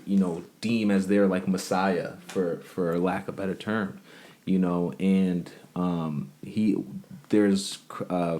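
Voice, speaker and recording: Podcast co-host, male, low voice, loose filler-heavy chat. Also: a little mellow. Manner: rambling, filler-heavy storytelling. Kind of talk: casual chat.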